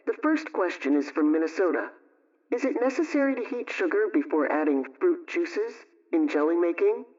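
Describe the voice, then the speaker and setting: A man presenting a scripted homemaking radio program, reading out advice in a measured, instructional way. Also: fairly high pitch, a little mellow. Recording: muffled, distant radio sound.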